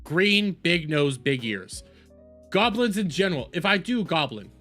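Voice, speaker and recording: Male reaction host, high and bright voice, exasperated, mocking rant with emphatic repetition. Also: sing-song, measured pace, soft background music. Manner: argumentative, exasperated, mocking, repeats phrases for emphasis. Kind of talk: heated opinion commentary, casual rant.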